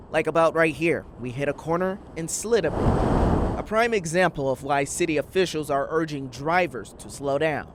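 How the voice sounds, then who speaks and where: Higher-pitched male TV news reporter. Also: heavy background noise. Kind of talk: scripted news narration.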